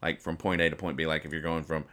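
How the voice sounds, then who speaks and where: Guy with a low but bright sharp voice, rambly mock-serious podcast host explaining funny stuff. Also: level tone, fast talker. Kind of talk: casual comedic read-aloud and explanation of comic strips.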